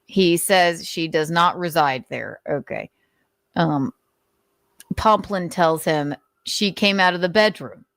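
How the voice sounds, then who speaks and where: A woman's voice: fairly low pitch, slow pace, a bit bright, no room sound, studio quality. A woman hosting an informal dramatic reading of notes, with amused, sardonic asides. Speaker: host reading notes aloud.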